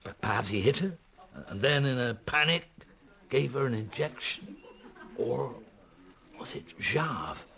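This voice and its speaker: A man's voice: mid-range voice, animated, a little slow, vocal fry, pushed and bright, exaggerated voice. An actor playing a pensive middle-aged police detective in a radio drama, musing and hesitant as he mutters his thoughts. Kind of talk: naturalistic radio drama acting.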